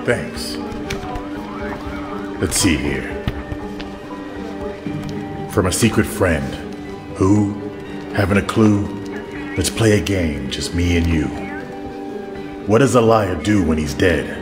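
A calm police lieutenant acted by a man in an audio drama, businesslike and subdued. He speaks slowly and low, in a reverberant hall. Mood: measured, puzzled. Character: calm, steady male police lieutenant investigating a murder. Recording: echoey hall reverb, music behind.

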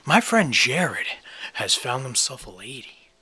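A young man talks casually to camera as a prank host. His voice is husky and forceful, and he takes his time with a showy, drawn-out delivery. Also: mid-range voice, sing-song. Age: young adult. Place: indoors, basement-like room with a couch.

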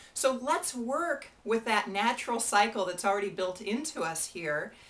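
Woman, 30s, American auctioneer; casual, friendly to-camera tips, small indoor room, mid-pitch voice. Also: fairly bright tone. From United States. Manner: friendly, chatty, gestures with her hands. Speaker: benefit auctioneer giving advice. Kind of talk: casual to-camera advice talk.

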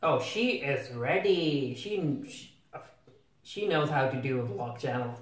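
A man's voice: mid-range voice, animated, slow pace. A young man gives casual reaction commentary in a bemused, questioning way, often repeating his own words. He is recorded at some distance from the microphone, in an echoey home room. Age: young adult. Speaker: reviewer reacting to a cartoon. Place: small home room with a desk. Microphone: camera a few feet away.

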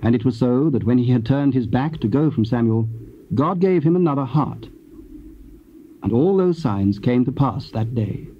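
A man narrating dramatized scripture in a formal, measured storytelling manner. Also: mid-range voice, lively intonation. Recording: dull old cassette, faint noise.

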